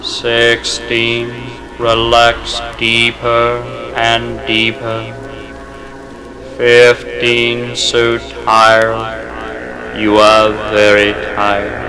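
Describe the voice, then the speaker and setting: Very slow, flat-voiced male hypnotist. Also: medium pitch, low-quality recording. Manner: slow soothing countdown, repetitive and hypnotic; commanding suggestions. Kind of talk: hypnosis induction from an old record. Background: music playing under the voice.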